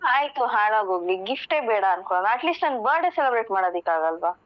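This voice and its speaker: A woman's voice: medium pitch, animated, quick pace. A woman on a phone call, taunting and scornful in a heated argument.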